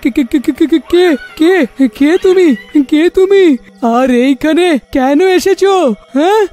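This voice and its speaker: A man's voice: extremely high pitch, unhurried, mellow. A man voicing a brash, bantering village man in a cartoon, drawling and exclamatory. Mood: frightened. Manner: drawling, exclamatory, repeats himself.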